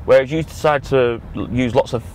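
A man's voice: mid-range voice, a little fast, darkish tone. British man chatting over car noise. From United Kingdom. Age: young adult. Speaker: vlogger driving on a road trip. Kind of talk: casual vlog chat. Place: inside a moving or parked car. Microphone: dashboard action camera.

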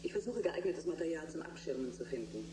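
Echoey, distant ship's doctor. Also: female voice, low-pitched, expressive, fast. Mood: businesslike, concerned. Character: matter-of-fact female ship's doctor reporting to captain. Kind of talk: German radio drama acting. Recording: echoey, distant intercom with clear noise.

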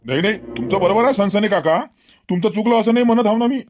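High-voiced, talkative cartoon villager played by a man. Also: fast talker, a little mellow, slight background noise, close to an everyday voice. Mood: calming, reasoning. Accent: Varhadi Marathi. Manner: earnest, wordy, repeats himself. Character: talkative, level-headed male villager organizing neighbours. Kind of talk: comedy cartoon dialogue.

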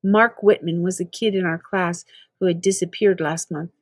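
A woman's voice: fairly low pitch, steady tone, normal speed, dry recording, clean studio sound. An older woman with an American accent reading a children's novel aloud expressively. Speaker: storybook reader.

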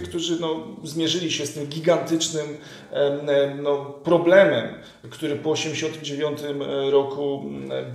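A man's voice: fairly high pitch, vocal fry, a little mellow. Man, thirties, journalist interviewer, earnest, hesitant fillers, echoey room. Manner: earnest, frequent fillers and restarts. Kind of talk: political interview. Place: room with leather sofa, indoors.

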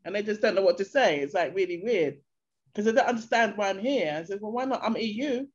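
An older woman, talking casually over a video call. She tells her stories in an animated way and slips into the voices of the people she describes. The audio is dull and lo-fi, and her pitch sits in a middle range. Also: fast talker, slightly rough. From England. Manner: animated storyteller, acts out voices of locals. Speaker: interview guest. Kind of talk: casual chat. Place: bright room at home.

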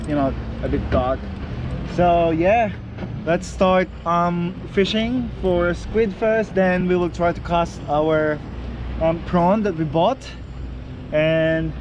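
Slow-talking man fishing by the sea. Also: on the high side. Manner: easygoing, chatty, laughs and whoops when excited. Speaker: fishing vlogger. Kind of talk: casual fishing vlog commentary. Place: outdoors on a rocky breakwater by the sea. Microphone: head-mounted action camera. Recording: wind and surf noise outdoors.

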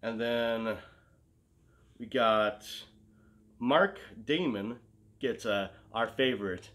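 A man's voice: mid-range voice, very slow, a bit bright. A man in his thirties chatting casually as a show co-host in a living room, often searching for words. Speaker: co-host of a comic collecting show. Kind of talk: casual chat.

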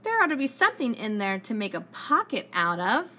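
A woman voicing a gentle, wistful little toy teddy bear, musing earnestly in a children's storybook read-aloud. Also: on the high side, very animated, fairly quick, a little hiss or hum. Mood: determined.

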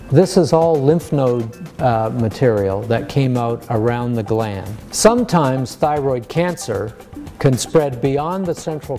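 An American man in his sixties, a surgeon explaining medicine to a lay audience in a measured, plain-spoken way. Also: mid-range voice, warm tone. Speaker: surgeon giving a public lecture. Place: medium-sized lecture room.

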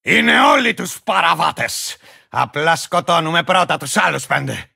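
A man voicing a manic, boastful, hot-tempered little warrior creature in a video game, with a gravelly, cracked voice and a ranting, barking delivery. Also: fairly high pitch, animated, bright, forceful tone, exaggerated voice. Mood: gruff, bloodthirsty. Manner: ranting, pompous, barking, bursting into cackles. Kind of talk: cartoonish video game character acting.

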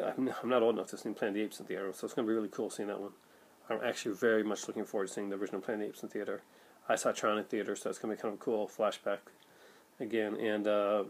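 A middle-aged man vlogging casually to camera at home, rambling with many fillers and restarts. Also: on the low side. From Canada. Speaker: vlogger, film collector. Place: home room with shelves of films, indoors. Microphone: built-in webcam mic at desk.

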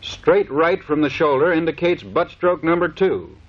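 A man's voice: a little high, animated, moderate pace. A man giving scripted, matter-of-fact instructional narration for a training film. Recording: dull lo-fi 1930s film soundtrack.

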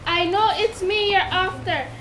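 A woman's voice: very high-pitched, a little slow, sharp tone, heavily acted. A woman playing a frightened mother turtle guarding her eggs in an amateur puppet show, pleading and dramatic. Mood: defiant. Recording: noisy live puppet show.

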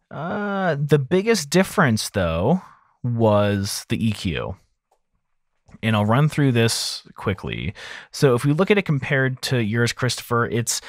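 A man's voice: medium pitch, dry recording. A man in his thirties giving a casual tutorial as an audio engineer, close on a broadcast mic. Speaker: audio engineer host of a mixing series. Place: small home studio room, indoors. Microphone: close large broadcast mic on an arm.

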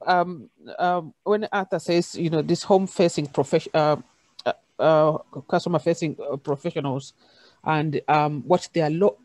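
A woman from Kenya with a Kenyan accent, speaking haltingly with many fillers as a panelist on a video call. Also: deep voice, a little mellow, no echo. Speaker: conference panelist, university lecturer. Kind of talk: unscripted panel discussion. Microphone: video call, camera off.

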